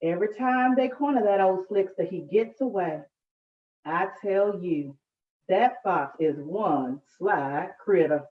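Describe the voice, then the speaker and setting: A woman's voice: fairly low pitch, takes it slowly. A woman voicing a folksy Southern grandmother with rural dialect wording in a children's storybook. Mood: wry. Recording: echoey room, distant muffled mic.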